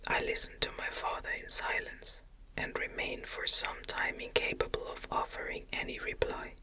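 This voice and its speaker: A woman reading a classic novel aloud in a gentle, soothing, breathy ASMR whisper, with measured phrasing. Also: poor audio. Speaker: audiobook narrator.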